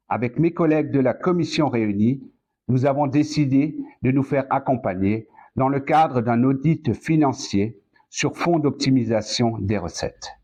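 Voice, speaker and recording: A man delivering a prepared, formal political speech as a council president, in a measured, deliberate manner with short, careful phrases. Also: medium pitch, dark tone. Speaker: president of an intercommunal council addressing elected officials.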